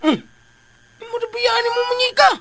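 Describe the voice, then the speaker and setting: A man's voice: extremely high pitch, moderate pace, a crowd in the background. A man playing a folksy, deferential household helper in a radio drama, rambling and repeating himself. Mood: coaxing.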